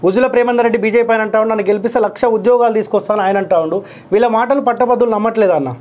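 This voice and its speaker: A male journalist with a Telangana Telugu accent runs a live phone interview. His voice is high and his delivery is quick. He questions callers briskly and directly. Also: a little mellow.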